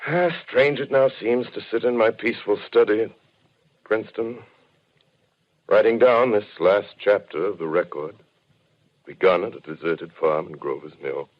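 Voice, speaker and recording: A man voicing a shaken, reflective professor narrates in a solemn, measured way, his voice sitting low. The sound is dull and muffled, like an old 1930s radio broadcast. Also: natural voice. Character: shaken male professor narrator recalling invasion.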